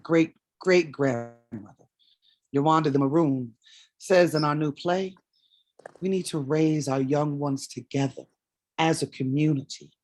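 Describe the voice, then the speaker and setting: A woman's voice: low-pitched, a little mellow. A woman in a staged reading playing an impassioned, defiant leader of a community theater company, with a blunt, emphatic delivery. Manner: blunt, emphatic speech-making.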